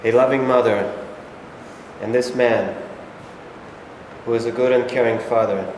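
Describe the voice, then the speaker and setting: A man's voice: medium pitch, steady tone, a little slow, a little mellow, lightly acted. A man playing a stern, solemn Japanese wartime patriot in a staged reading, delivered as formal declamatory speech. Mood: solemn, accusing. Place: reverberant indoor room at a staged reading. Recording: very echoey hall, faint background noise.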